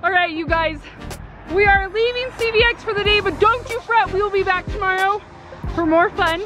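A woman in her thirties vlogging with her family, upbeat and playful, her voice going hoarse. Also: very high-pitched, moderate pace. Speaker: vlogger mom. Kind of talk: casual family vlog. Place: convention hall and city street. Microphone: handheld camera at arm's length. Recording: lively crowd and music behind.

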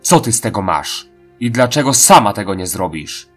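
A man's voice: lowish voice, big pitch swings, normal speed, soft background music. A man voicing a curt, angry, demanding masked killer in a horror audio drama. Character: Jack, angry terse masked male killer.

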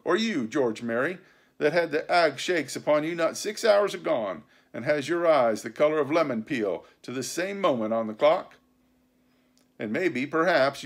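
A man's voice: a little high, voice pushed up. Storybook pirate chief, old and crafty, voiced by a man: blustering, scornful, salty rustic speech. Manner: scornful, rustic seafaring dialect. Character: wily, blustering old pirate sea cook ringleader.

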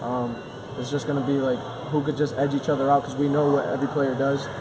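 A man's voice: medium pitch, level tone, fast. A young man, a basketball player, answering casually in a postgame interview. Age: young adult. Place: large indoor basketball gym. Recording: gym with clear crowd noise behind.

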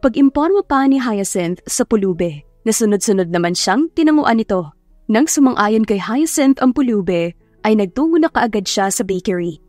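High-voiced woman narrating an audiobook. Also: animated, normal speed, darkish tone, no room sound. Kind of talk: scripted storytelling narration. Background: background music.

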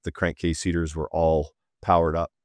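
A man in his thirties hosts a video-call podcast from a dark home studio, and his voice is very low, smooth and resonant. The sound is dry and close. He talks in a relaxed, agreeable way and nods along with short replies. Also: moderate pace, no echo. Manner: relaxed, agreeable, brief interjections. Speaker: podcast host. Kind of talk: casual interview chat.

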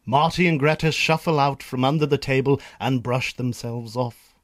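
A man's voice: mid-range voice, moderate pace. A man with a Northern Irish accent reading scripted narration as the narrator of a story.

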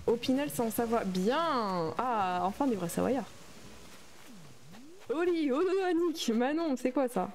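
A young woman chats through a gaming livestream. Her voice sits a little high. She reads and answers viewer messages aloud in an easy, casual flow. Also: expressive, slow, a little mellow. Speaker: streamer. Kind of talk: casual livestream chat while playing a game.

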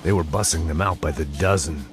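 An actor playing a world-weary, cynical middle-aged American ex-cop, narrating a hard-boiled noir video game in a sardonic deadpan. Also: male voice, very deep voice, a little fast, music in the background. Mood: bitter, sardonic. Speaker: narrating lead character.

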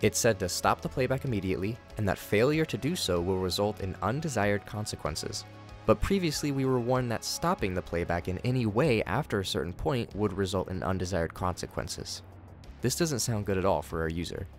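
A man's voice: lowish voice, expressive, brisk, soft background music. A man giving scripted but casual commentary, with wry conversational asides. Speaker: host of a horror series commentary channel.

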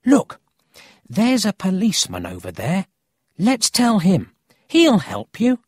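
A narrator voicing a cheeky, excitable young city boy who is brash, teasing and eager in a children's storybook. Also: mid-range voice, a little slow, no room sound, clean studio sound, pitched above the performer's own voice. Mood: excited.

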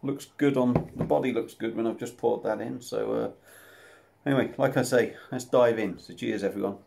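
A man's voice: medium pitch, steady tone, normal speed, gravelly, darkish tone, slight background noise. A man, casually reviewing a beer to camera at home, chatty and self-deprecating. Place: small room at home, indoors. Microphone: camera close up at desk level.

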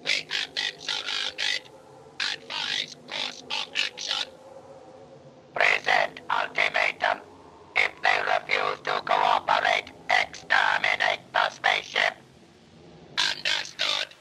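Ruthless alien machine commander, very high robotic filtered voice, slow and deliberate, audio drama. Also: male voice, wildly swooping pitch, vocal fry, bright, forceful tone, a little hiss or hum, exaggerated voice. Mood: cold, commanding. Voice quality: harsh, electronic, grating. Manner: staccato, barking orders. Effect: metallic ring-modulated robot filter. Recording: music behind an amateur 1980s audio drama.

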